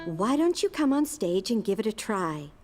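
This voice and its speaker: A woman's voice: mid-range voice, very animated, normal speed, a little mellow, faint music behind, close to an everyday voice. A woman playing an encouraging schoolteacher who directs a children's play in a cartoon. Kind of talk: scripted children's cartoon acting. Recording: long hall reverb.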